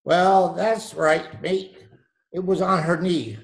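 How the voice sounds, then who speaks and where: A man acting salty tattooist, high-pitched, evasive, nautical slang, old-time radio drama, home call recording. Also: steady tone, slow pace, gravelly, full character voice, rough recording. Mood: cagey, salty. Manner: salty, evasive, calls people mate.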